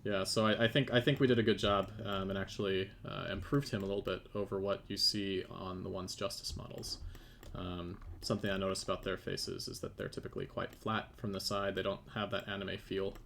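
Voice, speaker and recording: A man with a low, creaky voice talks casually as he demonstrates his work on a live stream. He thinks aloud in a halting way. There is faint noise with keyboard typing and clicks behind him. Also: little pitch movement, fairly bright tone. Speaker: game developer and 3D modeller presenting.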